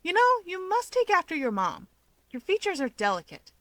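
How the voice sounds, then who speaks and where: A woman's voice: very high-pitched, huge pitch swings, moderate pace, fairly bright tone, no room sound, voice pushed up, exaggerated voice. A woman voicing an earnest, teasing young man who keeps pushing in a casual way.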